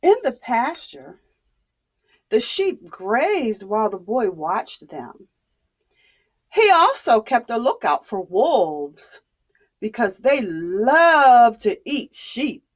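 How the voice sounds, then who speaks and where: A woman telling a story to young children in a dramatic, expressive, deliberate way. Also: a little high, slightly rough.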